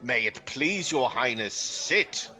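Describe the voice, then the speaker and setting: A man's voice: medium pitch, animated, slow, pushed and bright, faint music behind, full character voice. A man playing a courteous, puzzled lord attending his king in an amateur Shakespearean radio play. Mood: deferential.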